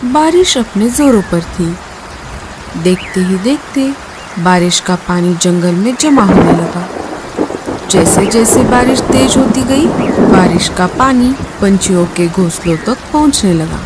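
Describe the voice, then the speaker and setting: Narrator casting: woman, medium pitch, scripted children's storytelling, clear rain noise behind the voice. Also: animated, unhurried.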